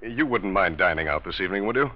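Mild, deep-voiced older uncle. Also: male voice, animated, fast. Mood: mild, casual. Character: mild, hesitant older uncle, wealthy boss. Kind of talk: 1940s-50s radio drama acting. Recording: dull vintage radio recording.

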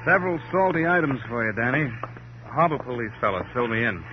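An actor playing a wry, slangy American police sidekick in an old radio crime drama, with a droll, wordy, mock-formal way of talking. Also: male voice, medium pitch, expressive, fast, over music, natural voice. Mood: droll, chatty. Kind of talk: 1940s-50s radio crime drama acting. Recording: noisy, dull old radio recording.

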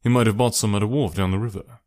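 A man voicing a shrewd, suave detective in an audiobook, calm, measured and smoothly bland. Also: lowish voice, close to an everyday voice. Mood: bland, probing. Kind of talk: audiobook character voice.

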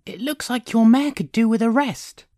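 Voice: medium pitch, normal speed, darkish tone, dry recording, studio quality, pitched above the performer's own voice, natural voice. A performer voicing an earnest, polite, kind-hearted young boy hero in a storybook. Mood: earnest, concerned. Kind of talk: audiobook character acting.

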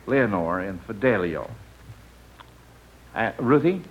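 A man with an American accent hosting an old radio quiz, phrasing questions deliberately with some hesitation. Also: lowish voice, lively intonation, a little hiss or hum. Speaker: quiz show host. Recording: muffled old 1940s radio recording.

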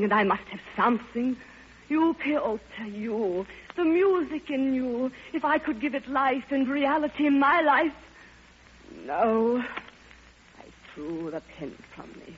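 An actress playing a passionate, lonely elderly widow, theatrical and yearning, in an old radio drama. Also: female voice, on the high side, lively intonation, a little slow, a little hiss or hum. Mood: wistful, impassioned. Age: older. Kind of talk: 1950s radio drama acting. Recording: dull old radio recording, faint music behind.

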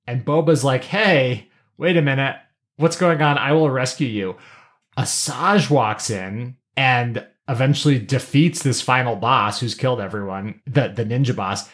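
A man's voice: medium pitch, a little slow, fairly bright tone. Casual man recapping a story. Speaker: podcast co-host. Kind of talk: casual episode recap with acted-out paraphrased dialogue.

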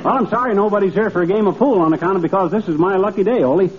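An actor playing a cheerful, gullible middle-aged braggart in a folksy, chuckling, excitable old-time radio comedy style. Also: male voice, high voice, rapid-fire, crowd noise, natural voice. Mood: chummy. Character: boastful, cheerful, gullible middle-aged small-town man. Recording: dull, noisy old radio recording.

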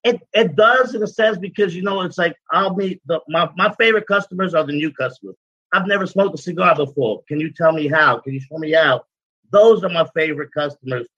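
A man's voice: a little high, fairly quick, bright, forceful tone, no room sound, highs cut off. A man, talking casually as an interview guest about his cigar business, rambling with many "you know"s. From Guyana.

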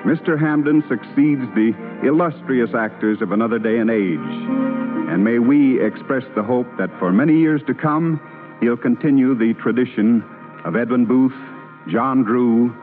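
A man delivering formal scripted announcements as an old-time radio announcer. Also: mid-range voice. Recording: tinny old radio, loud music behind.

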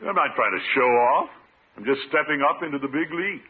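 An actor playing a pompous, blustery middle-aged American water commissioner in a classic radio comedy, with a theatrical manner. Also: male voice, on the high side, animated, a little fast, close to an everyday voice. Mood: boastful. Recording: tinny, noisy old radio recording.

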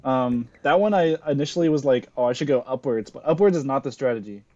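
Young dude telling a story on a podcast, um-ing a lot, kinda muffled audio. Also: male voice, medium pitch, fast talker, darkish tone, no room sound. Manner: rambling storyteller, lots of fillers. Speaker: podcast co-host. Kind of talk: casual chat. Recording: dull lo-fi audio.

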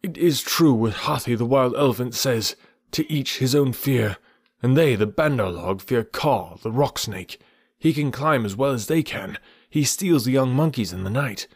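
A man voicing an anxious, fussing old male bear who wails and laments loudly in a storybook reading. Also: animated, husky, no echo, clean studio sound. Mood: urgent. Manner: loud, high and wailing, drawn-out cries.

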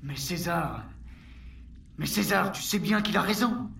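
An actor playing a desperate war prisoner, once a proud chief, pleading in an agitated, theatrical way in a French audio drama. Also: male voice, high-pitched, expressive, unhurried, vocal fry, sharp tone, echoey room, far from the mic, a little hiss or hum, heavily acted.

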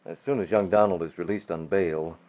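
An actor playing a composed young newspaper publisher with calm authority, in a 1940s radio drama. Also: male voice, deep voice, fairly quick, close to an everyday voice. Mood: serious, controlled. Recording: muffled old radio recording.

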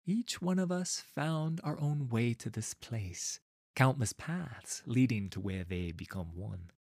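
Man playing a persuasive young rebel preacher, expressive. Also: medium pitch, gravelly, dry recording, clean studio sound, exaggerated voice. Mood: gentle, welcoming. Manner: persuasive, deliberate, impassioned speechmaking. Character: gentle young male cult leader turning fierce orator. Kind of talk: dramatised audiobook dialogue.

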